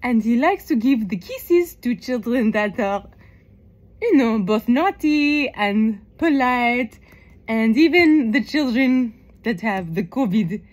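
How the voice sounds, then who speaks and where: Silly French doctor clown for kids' skit, played by a woman: high, very animated, apologetic, halting, French accent. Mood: playful, chatty. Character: clumsy, silly French lung doctor clown entertaining children. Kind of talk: improvised children's show skit.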